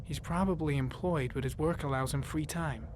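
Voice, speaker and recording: A man playing a calm, methodical detective in a video game, thinking aloud in deliberate, clipped, analytical phrases. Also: on the high side, background noise, lightly acted. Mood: analytical, focused. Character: calm, methodical male detective profiling a killer. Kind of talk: video game voice acting, investigative monologue.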